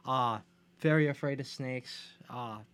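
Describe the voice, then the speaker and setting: A man co-hosting a podcast in casual, informal chat, agreeable and quick to interject. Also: medium pitch, a bit bright, clear, resonant tone. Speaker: podcast co-host.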